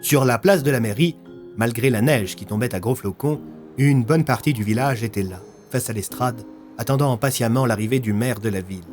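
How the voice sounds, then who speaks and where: A man's voice: medium pitch, a little mellow. A man narrating a scripted audio story as its storyteller.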